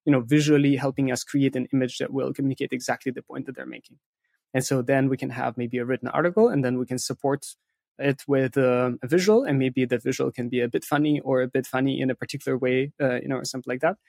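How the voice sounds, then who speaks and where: A man's voice: mid-range voice, fast, vocal fry, a little mellow, dry recording. Man explaining thoughtfully, dry close sound. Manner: often tags statements with a questioning "right?". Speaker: interview guest, content agency specialist.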